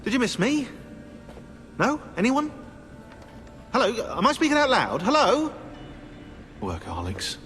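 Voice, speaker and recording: A man's voice: very high voice, huge pitch swings, unhurried, pauses often, a bit bright, heavily acted. A man voicing a sarcastic, bookish historian in a video game, with a pedantic, sardonic way of talking. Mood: mocking, then muttering sarcasm. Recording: long hall reverb, music underneath.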